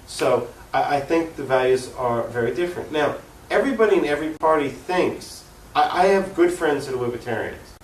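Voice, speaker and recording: An American man speaking earnestly and emphatically as an activist guest in an unscripted political interview. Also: mid-range voice. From United States. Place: echoey room, cable TV interview. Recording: echoey room, distant mic, faint noise.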